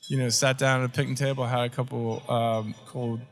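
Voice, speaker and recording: Relaxed male guest with many fillers. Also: medium pitch, a little fast, soft background music. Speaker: interview guest. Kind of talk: casual interview conversation.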